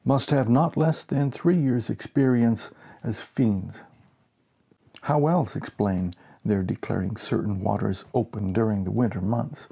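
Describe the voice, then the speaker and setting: A man in his sixties reads a humorous story aloud with expression. His voice is mellow and husky, with a gravelly fry running through it. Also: fairly low pitch, a little slow. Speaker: story reader. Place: small home office, indoors.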